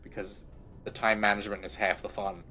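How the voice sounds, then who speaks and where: A man's voice: lowish voice, level tone, a little fast, bright, forceful tone, faint music behind. A man streaming a game, rambling crudely in casual commentary and laughing often.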